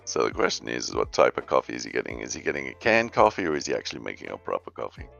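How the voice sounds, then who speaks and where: Very deep, gravelly man on voice chat. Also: very animated, rapid-fire, fairly bright tone, dull audio, faint music behind. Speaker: co-host on a game dev stream. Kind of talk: casual chat.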